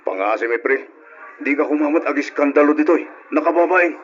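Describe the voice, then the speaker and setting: A man's voice: fairly high pitch, a little fast, a little hiss or hum, close to an everyday voice. An actor playing an evasive two-timing husband caught out in an Ilocano radio drama, stammering and stalling as he talks. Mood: flustered. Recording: dull old radio drama recording.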